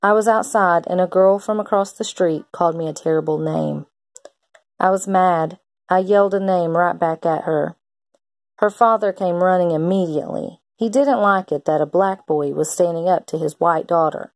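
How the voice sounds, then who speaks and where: A woman's voice: on the low side, moderate pace, no room sound, clean studio sound. A woman reading a children's picture book aloud like a teacher, expressively acting out the characters' lines.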